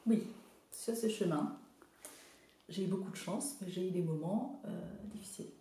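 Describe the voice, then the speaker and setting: A woman from Zimbabwe whose first language is English, an art therapist speaking hesitantly in a casual interview, often pausing to search for words. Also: on the low side, dark tone, heavy reverb, slight background noise. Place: studio workshop.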